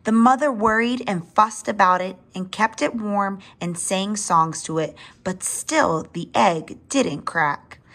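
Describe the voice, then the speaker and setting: A woman reads a children's story aloud in a mid-range voice. Her delivery is expressive and deliberate, and she draws out certain words for emphasis as a storyteller does. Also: medium pitch. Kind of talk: read-aloud storybook narration.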